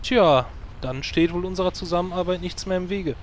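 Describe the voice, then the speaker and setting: A man's voice: high voice, fairly quick, lightly acted. A dry, sarcastic druid guild leader, played by a man speaks in a slightly raised voice. Faint hiss sits under his lines in this amateur audio drama.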